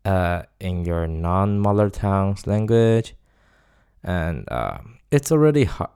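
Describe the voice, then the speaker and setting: Taiwanese guy in his 20s, chinese is his first language, talks slow with a clean smooth voice, lots of pauses, casual solo podcast. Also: deep voice, lively intonation, darkish tone. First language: Mandarin Chinese. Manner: hesitant, searches for words with many pauses. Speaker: podcast host.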